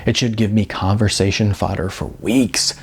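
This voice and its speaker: A man's voice: lowish voice, steady tone, normal speed, creaky, husky. A man voicing a teasing, gossipy woman agent in her late fifties for an audiobook, with an arch manner. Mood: gleefully nosy.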